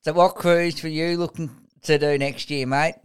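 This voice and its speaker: A man's voice: a little high, steady tone, normal speed, clear, resonant tone. A man hosting a podcast, asking short, friendly questions and calling his guest "mate". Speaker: podcast host. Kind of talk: casual interview.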